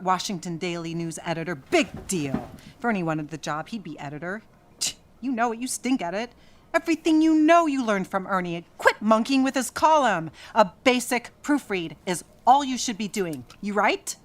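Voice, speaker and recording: A woman playing a fiercely loyal, sharp-tongued 1930s wife, scornful and emphatic, in a period radio drama. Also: a little high.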